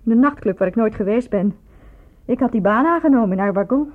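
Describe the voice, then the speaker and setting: A woman's voice: a little high, expressive, quick pace. An actress in a radio play voicing a lovestruck, self-reproaching provincial woman, speaking in a reflective, confessional manner. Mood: wistful. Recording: thin, phone-like old radio recording.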